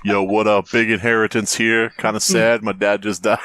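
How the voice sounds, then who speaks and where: A man's voice: fairly low pitch, little pitch movement, normal speed, noisy background. A man co-hosting a podcast, reading amateur stories aloud and breaking off for sarcastic, casual jokes. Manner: sarcastic, wisecracking. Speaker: podcast co-host. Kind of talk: casual comedic banter, reading fan fiction aloud.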